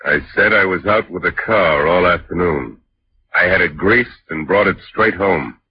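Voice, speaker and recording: Casting: evasive chauffeur, low-pitched, polite, guarded 1940s radio delivery, muffled old recording. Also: male voice, moderate pace, a little hiss or hum. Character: evasive, respectful male chauffeur.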